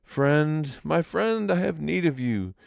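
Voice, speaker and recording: A man's voice: on the high side, animated, takes it slowly, creaky, a little mellow, dry recording, clean studio sound, pitched above the performer's own voice, heavily acted. A man voicing a young, eager rabbit in a storybook tale, with a sighing, pleading manner. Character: young eager rabbit, boastful then woeful.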